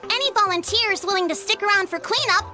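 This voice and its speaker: Squeaky, excitable little fairy companion. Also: female voice, quick pace. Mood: cheerful hosting. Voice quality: squeaky, childlike. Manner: animated, exclaiming, refers to self in third person. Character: small excitable childlike fairy companion, bubbly and bossy. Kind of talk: video game voice acting. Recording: loud music behind the voice.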